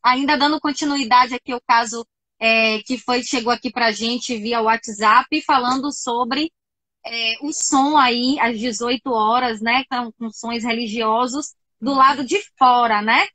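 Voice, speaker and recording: A woman's voice: on the high side, fairly even intonation, a little slow, pushed and bright, dry recording. A woman hosting a live radio talk show, passing questions to her guests. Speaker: radio host.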